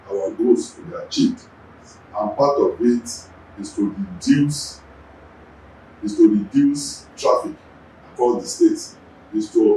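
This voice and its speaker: A man's voice: lowish voice, darkish tone, far from the mic, a little hiss or hum. Nigerian man answering from off-mic in an echoey room. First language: Yoruba. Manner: deliberate, repeats words while gathering thoughts. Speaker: traffic agency general manager. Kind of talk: measured official interview answers.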